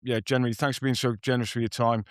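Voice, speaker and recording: A man's voice: mid-range voice, level tone, rapid-fire, no echo, studio quality. A man co-hosting a rugby podcast who has coached players, talking in a friendly, chatty way. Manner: friendly, chatty, warm thanks. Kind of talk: casual podcast chat.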